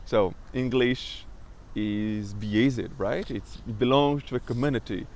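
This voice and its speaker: A man's voice: mid-range voice, takes it slowly, darkish tone, slight background noise. A young man with a Brazilian accent, talking casually in a personal vlog and stuttering with repetitions and restarts. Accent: Brazilian Portuguese. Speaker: vlogger.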